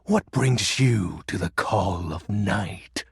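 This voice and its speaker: A man voicing a video game emperor of darkness, grandiose and pompous, with a gravelly, husky voice and theatrical, archaic delivery. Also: fairly low pitch, expressive, slow, dry recording, studio quality, exaggerated voice. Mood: haughty. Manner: pompous, melodramatic, archaic wording. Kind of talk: video game character voice acting.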